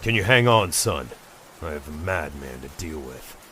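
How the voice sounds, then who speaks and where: A grim masked hero, acted by a man, with an extremely deep voice. He speaks in terse, grave phrases, with clear noise of vehicles behind him in a comic dub. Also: animated, moderate pace, fairly bright tone. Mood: urgent concern. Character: grim, stern adult male masked vigilante hero. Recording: noisy helicopter and vehicle ambience.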